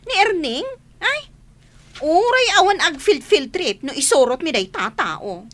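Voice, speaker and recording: A woman's voice: high-pitched, very animated, measured pace, a bit bright, heavily acted. A woman with an Ilocano accent playing a sassy, resentful office worker in a radio comedy drama. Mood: snippy.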